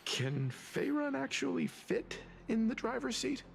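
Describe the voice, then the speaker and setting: A man's voice: very high voice, very animated, unhurried, gravelly, full character voice. An actor playing an earnest, tactful, scholarly man with a nerdy streak in a video game. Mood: polite, curious.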